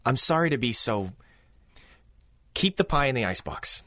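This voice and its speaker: A young 1930s police constable in a radio drama. He is subdued, troubled and apologetic, with halting, polite speech that moves widely in pitch. The recording is dry. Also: very animated, pushed and bright, dry recording.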